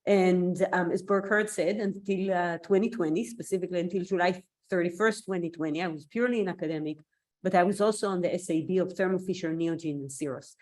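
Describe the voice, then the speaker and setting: A woman's voice: lowish voice, fast, a little mellow. A woman from Israel whose native language is Hebrew, giving a thoughtful online seminar talk over a video call while recovering from an illness, with an occasional cough. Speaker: invited scientist speaker.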